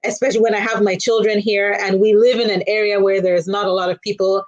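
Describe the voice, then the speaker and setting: A woman's voice: medium pitch, level tone, fast, lo-fi sound. Chatty woman explaining casually. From Nigeria. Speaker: guest, children's storyteller. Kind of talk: casual interview chat.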